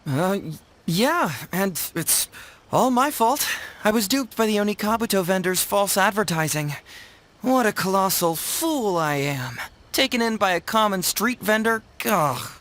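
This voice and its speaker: A man voicing a witty, smooth-talking young detective in a video game, articulate and theatrical. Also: high voice, animated, unhurried, fairly bright tone, a little hiss or hum. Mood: sheepish, self-reproaching.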